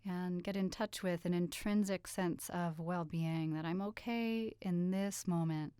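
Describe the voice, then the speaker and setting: Woman, meditation teacher, lowish pitch, smooth resonant tone, gentle guiding manner, dry studio-like sound. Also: fairly even intonation, no echo. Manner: soothing, unhurried, gentle instructions with pauses. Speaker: meditation guide. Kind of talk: guided meditation, yoga nidra.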